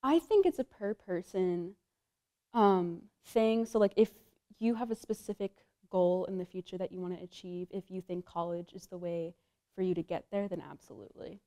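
A young woman psychology student giving measured, sincere answers in a documentary interview. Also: on the low side, mellow. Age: young adult. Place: studio with plain backdrop.

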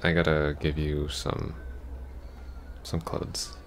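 A man playing an awkward, apologetic young ex-boyfriend in an ASMR roleplay, halting and stammering. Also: extremely low pitch, monotone, a little hiss or hum. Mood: awkward, wistful. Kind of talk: ASMR roleplay, soft intimate acting.

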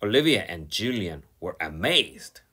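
Slow, husky man reading a storybook. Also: sits low, sing-song, sharp tone. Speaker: storybook reader. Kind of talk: children's picture book read-aloud.

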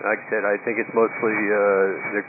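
A man's voice: fairly low pitch, little pitch movement, fairly quick. A man working as an airline pilot, speaking matter-of-factly to the tower over aircraft radio. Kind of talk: air traffic radio communication. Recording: muffled narrowband radio with static.

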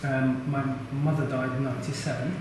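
A man in his twenties giving a halting, confessional monologue. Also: mid-range voice, flat delivery, noisy background. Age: 20s. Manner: slow, halting. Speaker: stage actor. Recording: echoey hall from far off.

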